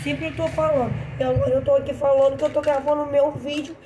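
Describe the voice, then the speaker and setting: Girl doing a sniffly doll host, kinda high voice, chatty, noisy home recording. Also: level tone, fast, mellow, natural voice. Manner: talkative, addresses viewers.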